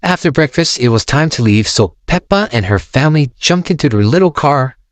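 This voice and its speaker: A man narrating a children's storybook aloud in an expressive, sing-song way, close to the mic. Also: on the high side, brisk, dry recording.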